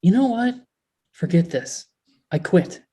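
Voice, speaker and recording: An actor playing an exasperated, earnest, jealous male elf in a comedy table read, indignant and halting. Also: mid-range voice, lively intonation, mellow, breathy edge. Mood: hurt, fed up.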